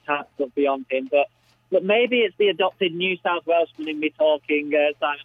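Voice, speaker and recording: A man's voice: fairly high pitch, no room sound. A man with an Australian accent giving conversational racing tips in a matey, enthusiastic way over a phone line. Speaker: greyhound racing tipster. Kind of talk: conversational racing preview commentary.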